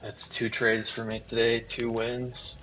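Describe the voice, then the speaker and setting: A man's voice: medium pitch, completely flat delivery, a little slow, pushed and bright, slight background noise. A man in a trading voice chat, laid-back, making terse remarks. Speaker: trader in voice chat. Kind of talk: casual chat.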